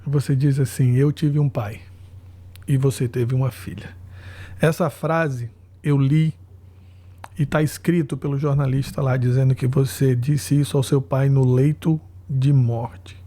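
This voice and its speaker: Earnest Brazilian podcast host, mellow voice. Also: male voice, medium pitch, fairly even intonation. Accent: Brazilian Portuguese. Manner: halting, apologetic, earnest. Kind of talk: emotional interview.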